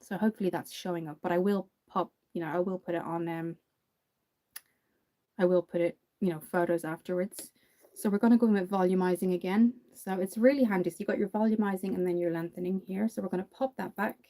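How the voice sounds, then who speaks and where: Young Irish woman, Dublin accent, chatty livestream makeup host, low mellow voice. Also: fairly even intonation, fast talker. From Ireland. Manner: chatty, reads and answers viewer comments. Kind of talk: casual livestream makeup tutorial.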